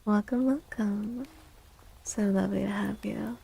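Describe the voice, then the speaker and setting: A woman streamer speaking in a soft, breathy ASMR style, often near a whisper, chatting gently and casually close to the mic. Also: mid-range voice, steady tone, measured pace, low-quality recording. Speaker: live streamer hosting chat. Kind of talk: soft-spoken ASMR, casual live chat.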